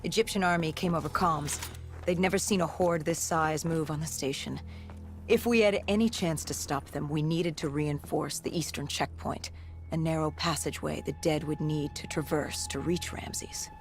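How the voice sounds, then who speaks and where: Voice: medium pitch, steady tone, normal speed, soft background music, natural voice. An actor playing a war-weary soldier in a video game cutscene, recounting battle memories in a grim, clipped, dramatic way. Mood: urgent, grim.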